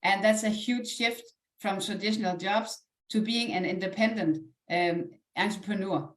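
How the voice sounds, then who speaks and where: A woman's voice: mid-range voice, normal speed, a bit bright. A woman with a Danish accent giving a live online motivational sales presentation in an earnest, encouraging, conversational way. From Denmark. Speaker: online presenter, network marketing ambassador. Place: indoors, echoey room, live stream. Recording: roomy, echoey live online call.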